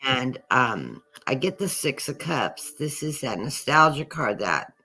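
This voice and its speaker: A woman's voice: a bass voice, very animated, measured pace, bright, forceful tone, a little hiss or hum. A woman, the guest tarot reader, steadily explaining each card she draws.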